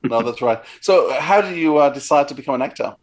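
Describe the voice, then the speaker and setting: A man's voice: medium pitch, lively intonation, brisk. A man hosting a podcast interview, friendly, with stumbling restarts, over a remote call. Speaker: podcast host. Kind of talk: casual interview chat.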